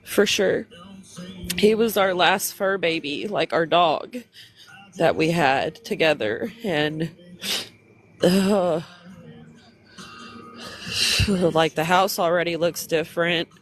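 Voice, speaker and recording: A man's voice: on the high side, slow, long pauses, fairly bright tone, soft background music, poor audio. Tearful, congested grieving man. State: crying, congested, stuffy. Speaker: livestream host. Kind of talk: emotional live stream talk.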